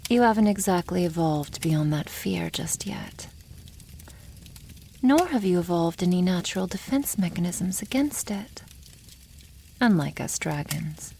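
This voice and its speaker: A woman voicing a haughty, possessive female dragon in an ASMR roleplay, teasing and condescending, often dropping toward a breathy whisper. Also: fairly low pitch, dark tone, a little hiss or hum, full character voice.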